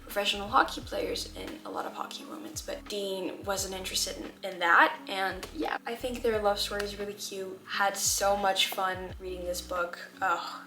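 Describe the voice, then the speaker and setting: A woman's voice: medium pitch, steady tone, moderate pace, reverberant. A young woman who is not a native English speaker, chatting candidly to camera as a book vlogger, with many fillers. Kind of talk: casual chat to camera. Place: room at home.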